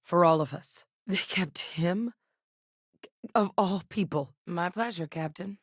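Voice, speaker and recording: An actress playing a seasoned, serious military scientist in a sci-fi video game, speaking in a measured, earnest and authoritative way. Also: female voice, fairly low pitch, slightly rough, no echo, clean studio sound. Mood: subdued, reflective. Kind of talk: scripted game character dialogue.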